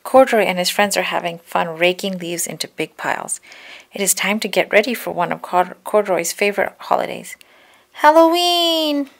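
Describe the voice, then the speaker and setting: A woman reading a picture book aloud for children, giving key words expressive emphasis. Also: on the low side, brisk, gravelly. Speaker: children's storybook reader.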